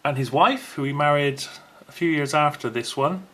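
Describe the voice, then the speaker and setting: A man's voice: a little high, normal speed, fairly bright tone. A man presenting an unboxing video in a casual, unscripted way, chatty and rambling as he thinks aloud.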